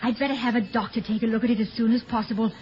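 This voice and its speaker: An actress playing a playful, affectionate, coyly teasing sweetheart and wife in a 1950s radio comedy-drama. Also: female voice, a little high, steady tone, rapid-fire, close to an everyday voice. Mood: concerned, apologetic. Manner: coy, lightly teasing. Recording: muffled old 1950s radio recording.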